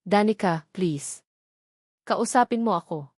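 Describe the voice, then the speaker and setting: A woman voicing an earnest, troubled young man in an audio drama, softly pleading. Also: mid-range voice, slow pace, no echo, studio quality. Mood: pleading, hurt.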